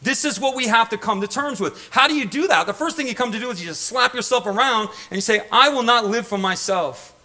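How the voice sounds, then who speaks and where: Male preacher, middle-aged. High-set, sharp, driving delivery; impassioned, repeats for emphasis, throws questions at the congregation. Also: brisk, hardly any pauses. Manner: emphatic, repetitive, prods listeners with rhetorical questions. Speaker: pastor. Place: church stage.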